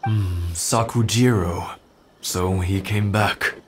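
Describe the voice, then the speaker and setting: An actor playing a gentle elderly husband in a video game, thoughtful, nostalgic and a little hesitant. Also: male voice, sits low, steady tone, slow. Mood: pensive. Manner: hesitant, reflective. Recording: music under the dialogue.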